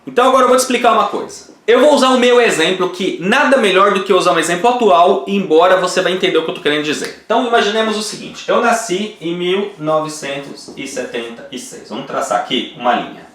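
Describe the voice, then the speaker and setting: A man in his forties from Brazil explains things at a whiteboard in a high, animated voice. He pauses often to check that the listener is following. The room around him is echoey. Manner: patient, checks in with the viewer often. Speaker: online course instructor.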